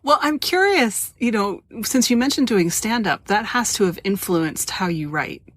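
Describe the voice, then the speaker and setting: A woman's voice: medium pitch, lively intonation, moderate pace, a bit bright. An American woman hosting a podcast interview in a casual, conversational style.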